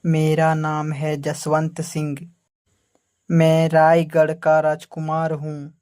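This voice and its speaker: A woman playing formal, stately princely suitors in an animated fairy tale. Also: deep voice, slow pace. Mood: formal, proud.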